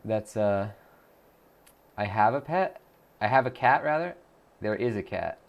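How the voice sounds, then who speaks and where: Low gravelly man streaming casually. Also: lively intonation, measured pace, highs cut off. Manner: rambling, thinks aloud with many fillers and restarts; reads viewer messages aloud and answers them. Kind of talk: casual live-stream chat while practicing a language app.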